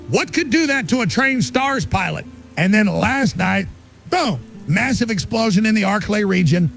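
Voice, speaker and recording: Casting: male actor as fiery underground radio conspiracist, high male voice, suspicious and urgent, punchy questions, music bed. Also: a bit bright. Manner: punchy rhetorical questions, insistent. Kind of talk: dramatic pirate radio monologue.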